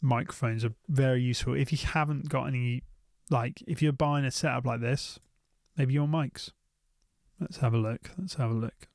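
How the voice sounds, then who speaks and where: British man with a creaky voice, thinking aloud. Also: medium pitch, steady tone, moderate pace, no room sound, clean studio sound. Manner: thinking aloud, repeats phrases. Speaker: YouTube gear reviewer. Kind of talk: casual unscripted shopping commentary.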